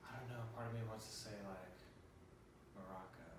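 A relaxed young man talks as an interview guest, with a slightly low voice and an easygoing, rambling way of speaking. He is picked up from across a bare living room, so his voice sounds distant and very echoey, with faint ambient noise behind it. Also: normal speed. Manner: laid-back, loose with many "like" and "word". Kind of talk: casual conversation. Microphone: far camera.

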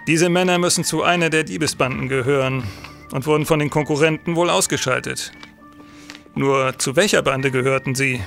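Man playing a commanding, gravelly police senator. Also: a little high, a bit bright. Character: police senator, authoritative male official in historical crime case. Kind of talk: German audio drama acting.

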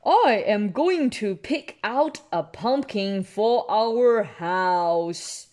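A woman's voice: mid-range voice, lively intonation, very slow, darkish tone. A woman voicing a cheerful, polite little boy tiger from a children's storybook in simple, eager phrases.

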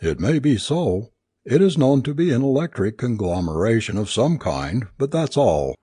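A learned male doctor read by a narrator expounds formally in an audiobook story. The voice is animated and rhetorical, with a slightly husky tone and a dry sound. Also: mid-range voice, moderate pace, dry recording. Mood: musing.

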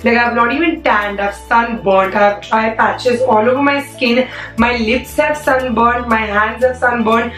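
Young woman, hoarse, chatty vlogger, fairly high voice, echoey room a little off-mic. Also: steady tone, measured pace, fairly bright tone. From India. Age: young adult. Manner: chatty, lots of "like" fillers. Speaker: beauty vlogger. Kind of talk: casual chatty vlog. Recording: echoey room, a bit far from mic, faint music.

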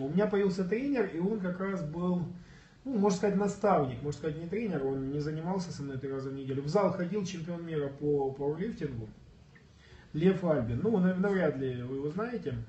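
A man's voice: on the high side, mellow. Coughing man telling his story in an echoey room. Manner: earnest, storytelling, clears throat and coughs. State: slightly ill, coughing. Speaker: bodybuilding coach, guest. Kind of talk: casual livestream chat. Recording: echoey room, far from mic, dull.

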